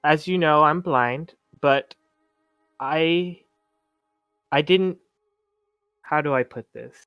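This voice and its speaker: A man playing a timid, polite blind orphaned teenage boy, a haunted survivor who talks in halting stammers, in a tabletop roleplaying game. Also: fairly high pitch, clean tone, no room sound, highs cut off, faint music behind. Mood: pained, restrained.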